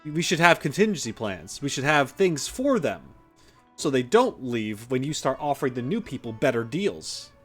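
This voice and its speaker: A man's voice: medium pitch, very animated, normal speed, husky, soft background music. A man streaming a game, giving casual, opinionated, conversational commentary. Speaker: gaming streamer.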